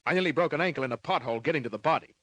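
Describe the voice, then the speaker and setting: Terse hard-boiled detective, dry sound. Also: male voice, a little high, racing, a bit bright, no echo. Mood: world-weary, wry. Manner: terse, wry, clipped phrases. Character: hard-boiled, wisecracking male private detective. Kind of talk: 1950s radio crime drama narration and acting.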